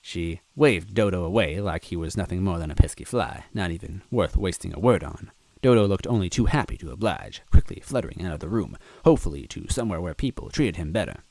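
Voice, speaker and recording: A man with a fairly low voice narrates a story, expressive and lively, now and then dropping in a personal aside. The recording is dry and close, with no room sound. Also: brisk, gravelly, hardly any pauses, dry recording. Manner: expressive, adds asides to the listener. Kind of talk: reading fan fiction aloud.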